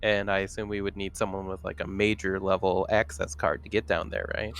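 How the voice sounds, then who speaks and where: A man's voice: deep voice, little pitch movement, brisk, fairly bright tone. A man talking casually as a player in a tabletop roleplaying game, reasoning aloud and checking his assumptions.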